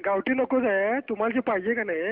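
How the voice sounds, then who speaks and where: A man with a very high-pitched voice speaks with a western Maharashtra Marathi accent. He chats casually as the person called. His voice comes through a thin, narrow telephone line. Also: quick pace. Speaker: prank call recipient. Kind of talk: casual phone chat. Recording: tinny narrow phone line.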